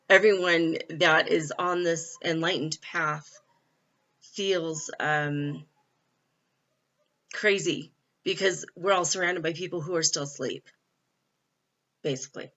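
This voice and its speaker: A middle-aged woman from California chats openly into her laptop, her voice bright and a touch forceful. She fills pauses with ums and small laughs. The built-in mic gives the sound a dull, lo-fi edge. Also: low-pitched, steady tone. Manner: chatty, candid, with frequent fillers and laughs. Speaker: vlogger. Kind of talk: casual spiritual vlog.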